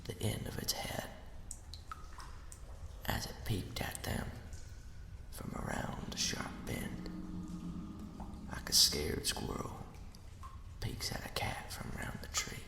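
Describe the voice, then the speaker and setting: Whispering, gravelly man telling ghost stories. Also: very deep voice, sing-song. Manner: slow, hushed, dramatic storybook delivery. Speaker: storyteller. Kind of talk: whispered ASMR ghost story narration. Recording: echoey, long cavernous reverb.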